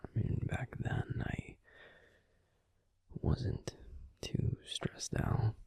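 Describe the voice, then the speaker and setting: A man voicing a grumpy young werewolf boyfriend in an ASMR roleplay, talking in a soft, soothing, breathy whisper close to the mic. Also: drawn-out words, no room sound.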